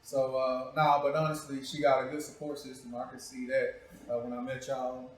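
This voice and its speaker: A man's voice: medium pitch, flat delivery, moderate pace. A man hosting a talk show in casual, profane, joking chat, with a playful, teasing manner and a habit of repeating himself. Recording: very echoey room, distant mic.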